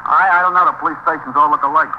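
Sulky New petty thief, played by a male actor, highish voice, quick-fire grumbling, muffled 1950s radio drama. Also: steady tone, close to an everyday voice. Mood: sullen. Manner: streetwise, grumbling, quick retorts. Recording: dull, noisy 1950s radio recording.

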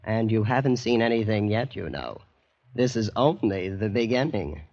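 An actor playing a blasé, boastful young American heir in a vintage radio drama, nonchalant and smug. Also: male voice, fairly low pitch, normal speed, darkish tone, lightly acted. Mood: smug, casual. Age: young adult. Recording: muffled vintage radio recording.

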